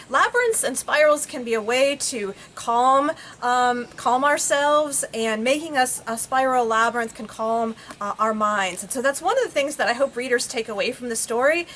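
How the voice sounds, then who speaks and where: A woman with a higher-pitched voice gives an informal book talk as its author. She is recorded outdoors in a backyard, with slight ambient noise around her. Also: a bit bright. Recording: faint outdoor background noise.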